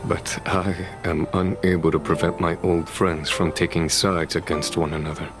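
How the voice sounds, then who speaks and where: A man playing a composed, gentle, wise general in a video game, speaking in a calm, measured, reflective way. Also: sits low. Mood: reflective, consoling. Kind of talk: dramatic video game voice acting. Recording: background music over game dialogue.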